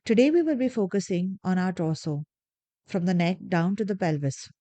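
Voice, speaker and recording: Slow, soft-spoken woman guiding meditation. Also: fairly low pitch, no echo. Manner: slow, soft, calm instructions with long pauses. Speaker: meditation guide. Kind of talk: guided meditation.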